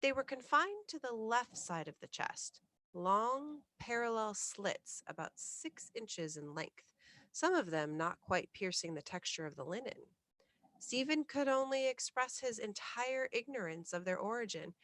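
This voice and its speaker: A woman reading a classic ghost story aloud as scripted bedtime narration, in a measured, literary storytelling manner. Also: a little high, animated, a bit bright, no echo. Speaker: storyteller, reader of ghost stories.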